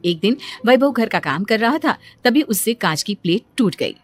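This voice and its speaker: A woman's voice: fairly high pitch, lively intonation, moderate pace, no echo. Woman narrating a cartoon story.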